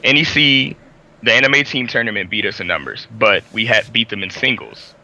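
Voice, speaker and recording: A man on a podcast with a worn, raspy voice, defensively laying out numbers in a casual debate. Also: on the low side, animated, moderate pace, pushed and bright, rough recording. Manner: defensive, rattles off numbers and facts. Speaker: podcast co-host.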